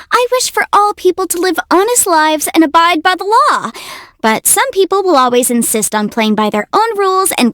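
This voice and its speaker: A woman's voice: sits high, a bit bright, dry recording, clean studio sound. A woman voicing a cute, confident young female legal adviser in a video game, youthful and articulate with a theatrical, self-assured delivery. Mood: principled, firm. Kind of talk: video game character voice lines.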